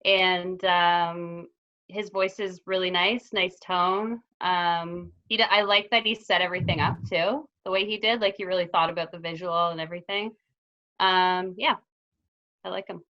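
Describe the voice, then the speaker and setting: A woman's voice: lowish voice, fairly even intonation, normal speed, highs cut off. A woman judge on a panel, chatting casually and agreeably.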